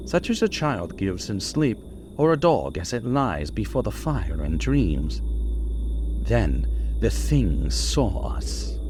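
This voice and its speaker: An actor playing a haunted, skeptical doctor who recounts horrors in a measured, dramatic vintage radio style. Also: male voice, fairly low pitch, noisy background.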